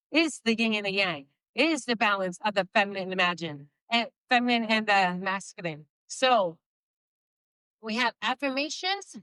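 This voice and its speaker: A woman with a slight Spanish accent, Spanish being her first language, giving an emphatic inspirational speech and slowing down for key phrases. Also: a little high, sharp tone, clean tone, no echo, low-quality recording. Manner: emphatic, slows down for key phrases, laughs between points. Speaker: motivational speaker.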